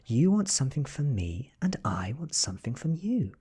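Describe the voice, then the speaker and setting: A British man voices an ancient vampire woman from a storybook, cool and controlled. The voice is soft and husky, swinging widely in pitch while the threat stays quiet and measured. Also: sing-song. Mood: controlled, threatening. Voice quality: soft, breathy. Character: ancient, beautiful female vampire, cool and menacing. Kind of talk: soft-spoken ASMR storybook reading.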